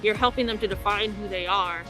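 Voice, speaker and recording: A woman professor speaking in a measured, reflective way in a documentary interview. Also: mid-range voice. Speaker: professor and mentor. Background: music.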